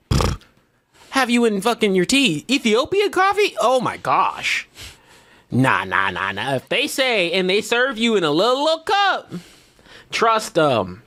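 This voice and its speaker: A man's voice: high-pitched, bright, forceful tone. A young man co-hosting a podcast, talking emphatically, swearing, and breaking into laughter. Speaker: podcast co-host. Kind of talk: casual chat.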